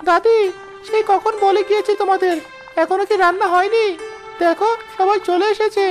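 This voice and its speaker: An eager, kind village child from a cartoon moral tale. The voice is extremely high and earnest, with faint music underneath. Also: female voice, fairly even intonation, normal speed. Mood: impatient. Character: eager, caring young grandchild in a village cartoon. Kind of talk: animated moral story voice acting.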